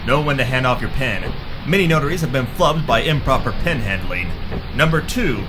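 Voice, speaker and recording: An actor playing a self-important, callous pulp space hero in a retro radio-serial parody, speaking dramatically. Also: male voice, medium pitch, close to an everyday voice, rough recording.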